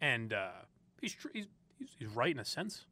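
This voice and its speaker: A man's voice: medium pitch, very animated, moderate pace, pushed and bright, breathy edge. A young man from the area, hosting opinionated talk radio and ranting with frequent laughs. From Boston area. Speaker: sports radio host.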